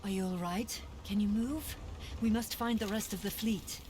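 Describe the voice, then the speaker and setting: An actress playing a calm, resolute noblewoman army commander in a fantasy video game, speaking in a firm, measured way. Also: medium pitch, noisy background, close to an everyday voice. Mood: calm, reassuring. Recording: under loud game music.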